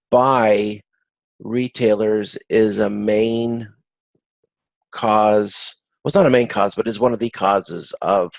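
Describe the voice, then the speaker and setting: A man giving an informal online lecture as an instructor, explaining in a halting way and often rephrasing himself. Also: fairly low pitch, gravelly, dry recording, low-quality recording. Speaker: course instructor. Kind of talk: informal recorded video-conference lecture with slides.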